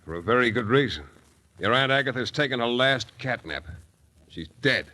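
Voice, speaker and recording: An actor playing a tough, wry private detective in slangy hard-boiled 1940s radio style. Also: male voice, mid-range voice, lively intonation, moderate pace, pushed and bright. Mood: wry, firm. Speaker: lead actor and narrator. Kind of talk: 1940s radio detective acting with first-person narration. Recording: 1940s radio recording, music behind.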